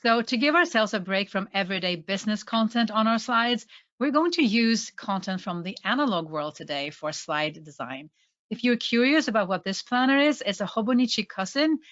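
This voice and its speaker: A woman with a Swedish accent presents a webinar in an enthusiastic, friendly teaching manner. Her voice sits at a middle pitch and comes through a video call with slightly dull, lo-fi sound. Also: fairly bright tone. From Sweden. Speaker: presentation trainer.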